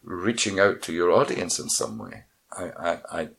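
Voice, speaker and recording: A man's voice: low-pitched, sing-song, normal speed, vocal fry, a bit bright. A middle-aged man from Scotland who teaches languages, co-hosting a podcast, talking casually and hesitantly. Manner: hesitant, repeats words when starting. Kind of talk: casual conversational chat.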